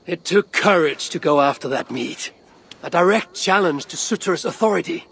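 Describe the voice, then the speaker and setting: A resolute, lordly god in a video game cutscene. His speech is formal and measured, set a little high, and rings with long reverb over faint noise. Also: creaky, fairly bright tone. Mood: firm. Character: proud, resolute god, a father seeking his son.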